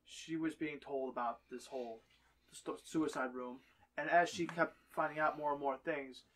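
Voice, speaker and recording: A man co-hosting a podcast, explaining his idea haltingly and rephrasing it in casual group talk. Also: on the high side, fairly even intonation, fairly bright tone, poor audio. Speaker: podcast co-host. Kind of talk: casual panel discussion.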